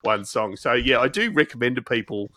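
Guy interviewer, bright forceful voice, dry close sound, kinda halting and agreeable. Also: mid-range voice, dry recording. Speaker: interview host. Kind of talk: conversational interview.

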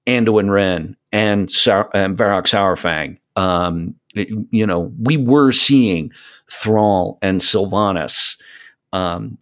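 Low-voiced man, emphatic podcast talker. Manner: emphatic, repeats phrases for stress. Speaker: podcast guest, hobby voice actor. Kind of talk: casual podcast conversation.

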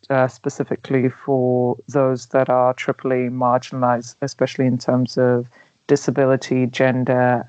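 A woman in her thirties with a London accent, a travel blogger answering interview questions thoughtfully with many pauses and fillers. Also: very deep voice, darkish tone, no room sound. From Nigeria. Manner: hesitant, many ums, thoughtful. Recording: dull dry recording.

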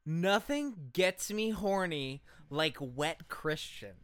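A man with a higher-pitched, very animated voice co-hosts a comedy podcast. His delivery is casual, emphatic and sweary, like someone ranting to a friend. The sound is bright and dry. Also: slow pace, clean tone, dry recording. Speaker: podcast co-host.

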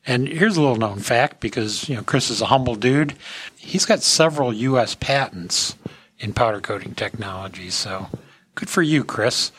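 A man's voice: mid-range voice, expressive, moderate pace, a bit bright, husky, no room sound. A man hosting an industry podcast in a casual talking style. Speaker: podcast host.

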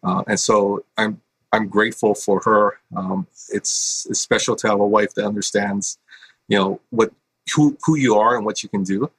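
A middle-aged man from Hawaii, a school principal, answering interview questions in an earnest, halting way with many fillers. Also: fairly low pitch, fairly even intonation, husky, no room sound, low-quality recording.

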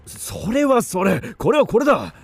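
A man's voice: sits high, sing-song, moderate pace, creaky, slightly rough, no echo, heavily acted. A man playing a wary, casual warrior companion in a radio drama. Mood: uneasy protest.

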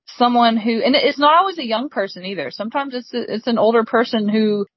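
A woman's voice: a little high, fairly quick, husky. A woman in her thirties, an author guest on a podcast, chatting casually and laughing often. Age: 30s. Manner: friendly, laughs often, frequent agreeing. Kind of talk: casual interview chat. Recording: dry, slightly dull sound.

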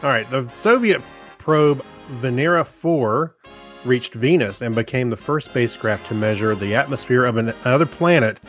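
A man's voice: medium pitch, moderate pace. A man co-hosting a podcast, chatting and reading items in an easygoing, hesitant way over music. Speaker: podcast co-host. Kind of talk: casual chat and reading out items.

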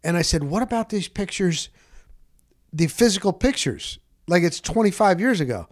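Middle-aged man, slightly high voice, dry close sound, casual storytelling, animated retelling of conversations. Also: moderate pace, dry recording. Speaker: broadcast host.

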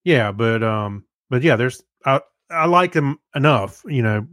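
Male co-host, informal chat, rambling and hesitant, medium pitch, dry close recording. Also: lively intonation, unhurried, a bit bright, no room sound. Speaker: podcast co-host. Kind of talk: casual chat, informal reviews.

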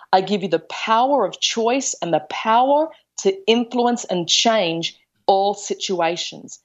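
A woman's voice: medium pitch, muffled recording. A woman giving an earnest spiritual teaching as a Bible teacher, explaining carefully and stressing key phrases.

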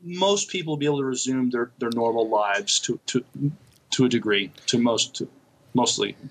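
Male co-host, mid-range voice, casual discussion, halting delivery with repeated words. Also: medium pitch, low-quality recording. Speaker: podcast co-host. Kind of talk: casual review chat about TV shows.

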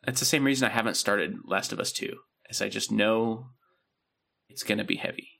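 A man's voice: on the low side, brisk, gravelly, pushed and bright. A man co-hosting a podcast, talking casually and rambling with lots of ums and likes. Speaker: podcast co-host. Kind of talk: casual chat.

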